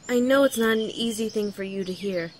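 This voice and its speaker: A young woman playing a weary student and mother-to-be, gently and earnestly reading out a letter in an audio drama. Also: mid-range voice, brisk, lightly acted. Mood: resigned, tender. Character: weary pregnant young student writing to her father. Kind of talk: letter read aloud in horror audio drama.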